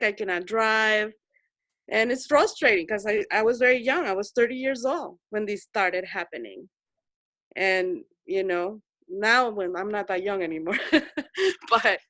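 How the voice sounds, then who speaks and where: Earnest woman in her forties, bright. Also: mid-range voice, dry recording. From El Salvador. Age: 40s. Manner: earnest, repeats herself for emphasis. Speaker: Zoom meeting participant. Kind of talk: personal story in group video chat. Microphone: video call.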